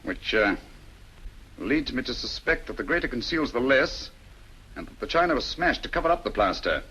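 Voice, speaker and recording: An actor playing a brilliant, commanding British detective with a crisp, emphatic, dryly witty delivery in an old-fashioned drama style. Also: male voice, mid-range voice, very animated, moderate pace, bright, forceful tone, heavily acted, poor audio. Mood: assured, reasoning. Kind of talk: old radio and film drama acting.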